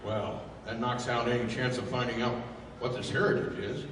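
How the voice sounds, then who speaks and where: A man's voice: medium pitch, steady tone, moderate pace, close to an everyday voice. Man playing a plainspoken tough sidekick, distant and echoing. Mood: baffled. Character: plainspoken, tough adventurer sidekick, ready with fists. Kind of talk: 1930s-style radio play acting. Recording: echoey hall from far off, faint noise.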